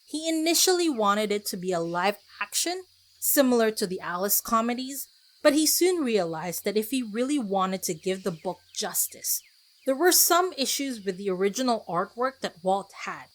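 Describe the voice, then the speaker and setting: A woman's voice: mid-range voice, very animated, normal speed. A woman podcast host narrating a casual scripted solo episode in a chatty, self-deprecating way with conversational asides.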